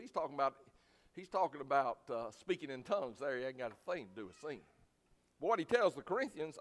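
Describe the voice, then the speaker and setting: A man preaching a sermon in a folksy, plainspoken, emphatic way, with a loud voice. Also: on the high side. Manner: folksy, plainspoken, emphatic, swinging from quiet asides to loud exhortation. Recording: reverberant hall.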